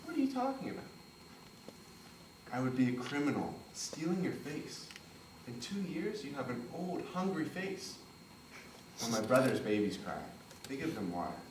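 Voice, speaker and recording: A man plays a proud young Italian immigrant with an Italian accent, his voice ranging widely in pitch as it moves from soft tenderness to furious protest. The recording is roomy and taken from a distance, with faint noise behind. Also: very animated, moderate pace, pauses often, natural voice. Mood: earnest. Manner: swings from tender murmurs to impassioned outbursts. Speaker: stage actor. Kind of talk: stage play performance.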